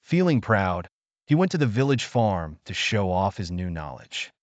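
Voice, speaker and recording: A man tells a children's bedtime story as a scripted narration. His lowish voice moves expressively up and down with measured phrasing, and the sound is close and dry with no room on it. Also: very animated, no echo.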